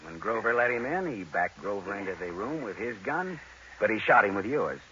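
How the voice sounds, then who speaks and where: An actor playing a tough, sardonic American private detective in 1940s radio crime drama style, with a needling, clipped delivery. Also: male voice, fairly low pitch, lively intonation, a little fast, bright, forceful tone, slight background noise. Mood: sardonic, taunting. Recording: muffled vintage radio recording with music.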